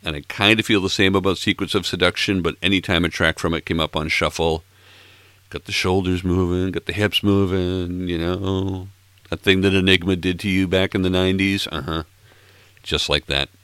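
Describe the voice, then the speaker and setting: A man in his late sixties hosting a music podcast in casual chat, a little hoarse, with playful self-mocking asides. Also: low-pitched, fairly even intonation, moderate pace, fairly bright tone, no room sound. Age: 60s. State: slightly hoarse, froggy throat. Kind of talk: casual chat between tracks.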